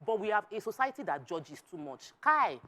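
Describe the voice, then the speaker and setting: A woman's voice: medium pitch, very animated, moderate pace, sharp tone. A woman with a Nigerian accent speaking emphatically and preachily in a panel discussion, slipping into Pidgin. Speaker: talk show panelist.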